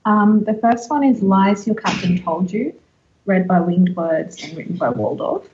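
A woman's voice: medium pitch, lively intonation, a little slow, darkish tone, lo-fi sound, a little hiss or hum. A woman hosting a podcast, chatting casually and giving recommendations with wry, self-deprecating humour. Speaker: podcast host.

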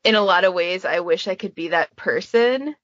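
A woman's voice: fairly low pitch, lively intonation, moderate pace, no echo. Woman, casual podcast panelist. Candid, self-mocking, sweary delivery. Very dry, slightly dull recording. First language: English. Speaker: podfic creator and podcast panelist.

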